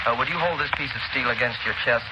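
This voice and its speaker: A man's voice: mid-range voice, natural voice. An actor playing a polite, earnest young blind man giving a formal demonstration in a 1930s radio play. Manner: formal, courteous, deliberate. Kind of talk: 1930s radio drama acting, formal speech. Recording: muffled, very noisy 1930s radio recording.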